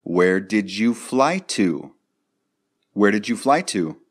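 A man teaching English, reading each drill sentence twice in a deliberate way. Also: fairly low pitch, animated. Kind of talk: slow, repeated drill sentences for learners.

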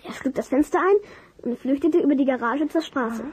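Earnest child witness for radio play, played by a female: high, mellow voice, careful and thoughtful. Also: a little fast, natural voice. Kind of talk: German audio drama acting.

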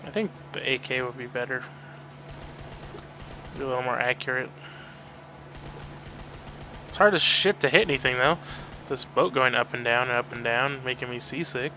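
A man's voice: medium pitch, moderate pace, pauses often, sharp tone, a little hiss or hum. A man giving casual gameplay commentary, reacting with grumbling and swearing as he plays. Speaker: video game player.

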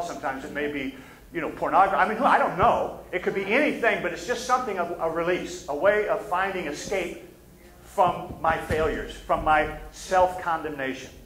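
A man's voice: fairly high pitch, a bit bright. A middle-aged man with a Midwestern American accent, preaching a casual, conversational sermon from a pulpit and asking the room for agreement. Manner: casual, plain-spoken, asks the room for agreement. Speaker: pastor. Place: church stage, behind a pulpit. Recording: echoey church sanctuary.

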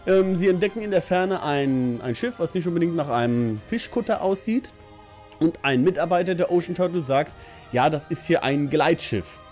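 Higher-pitched man chatting casually, music behind. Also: animated, normal speed, a little mellow. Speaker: host of an anime review channel. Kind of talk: casual spoken episode recap to camera. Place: small home office room. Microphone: desk condenser microphone on a stand.

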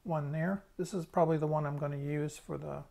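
A man's voice: fairly high pitch, steady tone, a little fast, vocal fry, dark tone. A man giving a casual, hands-on demonstration as a hobbyist, thinking aloud a little hesitantly. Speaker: hobbyist restorer presenting a tutorial.

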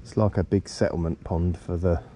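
A man's voice: sits low, steady tone, moderate pace, mellow, a little hiss or hum. A middle-aged man with a bit of a cold, chatting casually and muttering to himself as he fishes outdoors. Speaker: angler filming a fishing vlog. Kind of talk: casual chat while fishing. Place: outdoors on a riverbank. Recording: outdoor action camera with background ambience.

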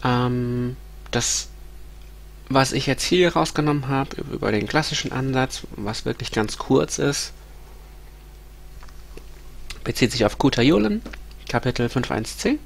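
Congested male lecturer, 30s, hesitant and halting, gravelly texture, desk mic. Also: medium pitch, expressive. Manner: hesitant, many ums and restarts. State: stuffy nose from a cold. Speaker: university lecturer. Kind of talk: recorded lecture with slides. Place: small room at home.

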